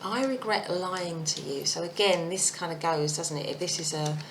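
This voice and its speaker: A woman giving a casual tarot reading, thinking aloud with many fillers, her voice croaky and husky. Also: on the low side, moderate pace, a little hiss or hum. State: croaky voice, clearing throat. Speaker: tarot reader.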